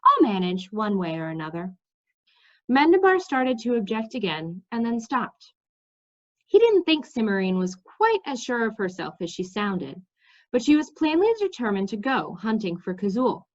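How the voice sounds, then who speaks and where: A woman reading a children's fantasy story aloud in an expressive, informal way. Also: on the high side, normal speed. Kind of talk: story read-aloud with asides to listeners. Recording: slightly dull, lo-fi sound.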